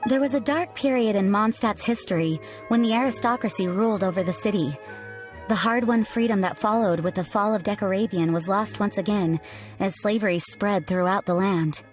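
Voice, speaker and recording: A woman's voice: mid-range voice, soft background music, natural voice. Composed, earnest female knight commander, acted by a woman. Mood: solemn. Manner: formal, measured, earnest. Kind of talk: scripted video game voice acting.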